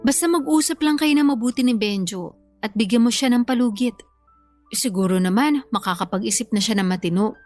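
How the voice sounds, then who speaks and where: A woman's voice: fairly high pitch, fairly quick, darkish tone, soft background music, close to an everyday voice. A woman playing a sensible, sympathetic friend who reasons and gives frank advice in a Filipino radio-style drama. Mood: reassuring. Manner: reasoning, advising.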